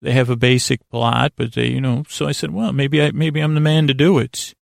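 A man with a heavily creaky, gravelly voice at an average pitch hosts a bedtime podcast. He meanders through an informal monologue, halting and doubling back in a self-deprecating way. The recording is very dry, with no sense of the room. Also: fairly even intonation, no echo. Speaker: host of a bedtime sleep podcast.

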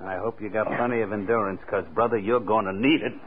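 Through a crackly, narrow old radio recording comes a man acting a low-voiced thug from a crime serial. He talks in taunting street slang, coolly threatening and sure of himself. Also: fairly even intonation, quick pace, close to an everyday voice. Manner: slangy, taunting tough-guy talk. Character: menacing gunman thug, tough-talking, coolly threatening.

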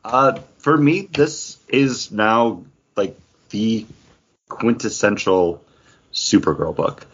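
Deep raspy guy on a review podcast, earnest, explains stuff casually, lo-fi audio. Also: slow, fairly bright tone. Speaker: podcast co-host reviewing comics. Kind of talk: casual talk, book review.

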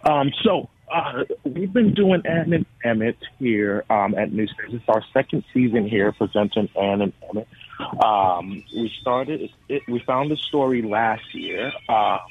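A man's voice: mid-range voice, a little slow. A man giving a spontaneous, informal interview over the telephone as a guest, feeling his way through his answers. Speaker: theater education director, interview guest. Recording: dull, noisy phone line.

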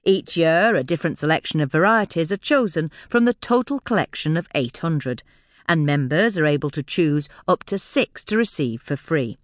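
A woman reading a scripted magazine-style article for a podcast in a measured, carefully enunciated way. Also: sits low, animated, dry recording, clean studio sound. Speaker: podcast episode reader.

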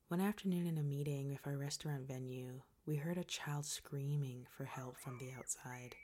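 A woman narrating a personal story in a soft, breathy, measured way. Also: low-pitched.

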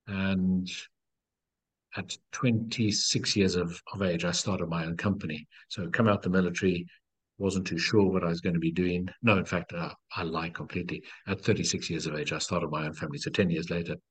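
A man's voice: deep voice, steady tone, moderate pace, slightly rough. A middle-aged man from South Africa, a life coach, telling stories in an earnest, encouraging way and repeating key phrases. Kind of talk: reflective storytelling in conversation.

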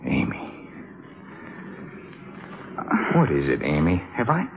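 An actor playing an earnest, awkward American jazz musician, plain-spoken and halting, in 1950s radio drama style. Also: male voice, very deep voice, wildly swooping pitch, creaky, faint music behind, heavily acted. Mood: hurt, indignant. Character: earnest, awkward, lovestruck male jazz trumpet player. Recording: tinny, narrow old radio broadcast.

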